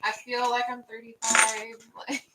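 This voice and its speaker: A woman's voice: fairly high pitch, a little slow, recorded from a distance, very noisy. A woman in her twenties chatting casually with her mother, telling stories with lots of "like". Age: 20s. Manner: animated storyteller, says "like" a lot. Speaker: daughter and co-host. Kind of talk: casual family chat.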